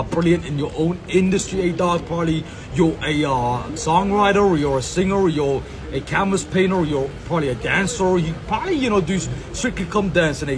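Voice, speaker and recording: A man from Sri Lanka recording a rambling outdoor monologue as a content creator, full of slang, fillers and repeated catchphrases. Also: on the high side. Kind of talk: rambling self-filmed monologue. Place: outdoors in a public space.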